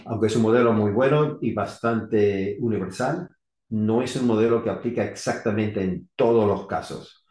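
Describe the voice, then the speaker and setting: A middle-aged man from Argentina, now living in the US, giving an unscripted technical talk on electrical safety in halting, rusty Spanish full of restarts and fillers. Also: fairly low pitch, darkish tone. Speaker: electrical safety engineer presenting. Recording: echoey room.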